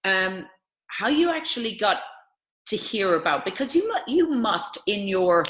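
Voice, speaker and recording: A woman's voice: mid-range voice, big pitch swings, a little slow, a bit bright, slight background noise. A middle-aged woman with an Irish accent, hosting a conversational interview. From Ireland.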